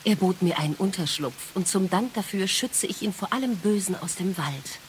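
A woman playing a wise, sorrowful exiled goddess and mother in an audio drama, speaking in a measured, solemn way. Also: medium pitch, expressive, slight background noise, lightly acted. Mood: bitter, solemn. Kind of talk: dramatic audio play acting.

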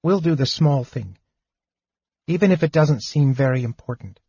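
A man voicing a small, earnest male kender who rushes his words and then turns sober. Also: fairly high pitch, animated, dark tone, slightly rough, dry recording, lo-fi sound, pitched above the performer's own voice. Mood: wistful. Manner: eager rushing speech turning sober.

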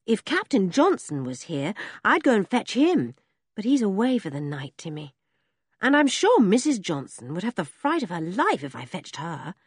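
A woman reading an audiobook voices a frightened, gentle young girl who talks in an anxious, pleading way. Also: medium pitch, wildly swooping pitch, moderate pace, slightly rough, no echo, clean studio sound.

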